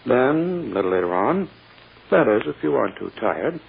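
An actor playing a smug, courting prosecutor with an unctuous, self-important, drawn-out manner in 1940s radio style. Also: male voice, medium pitch, sing-song, vocal fry, slightly rough, heavily acted. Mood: smug, coaxing. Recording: muffled, hissy old radio recording.

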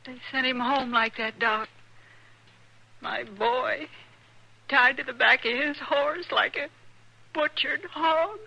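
High-voiced, grieving frontier mother. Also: slow pace, vocal fry, pauses often, pushed and bright, breathy edge, full character voice, low-quality recording. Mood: anguished. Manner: rustic, ungrammatical, pleading. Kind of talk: 1950s radio western acting.

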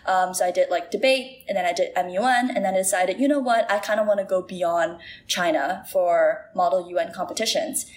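A woman's voice: fairly low pitch, fairly quick, hardly any pauses. A woman in her early twenties who grew up in Shanghai and Singapore, chatting casually as an interview guest and saying "like" a lot. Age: 20s. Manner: chatty, says "like" often, many fillers.

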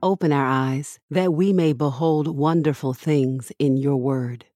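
A woman's voice: low-pitched, fairly even intonation, measured pace, dark tone, faint music behind. A woman announcing a podcast intro. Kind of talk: recorded show intro.

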